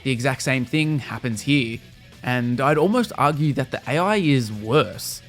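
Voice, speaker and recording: A man's voice: medium pitch, animated, unhurried, faint music behind. A man narrating a scripted video game review in a casual, wry manner with the odd mild swear.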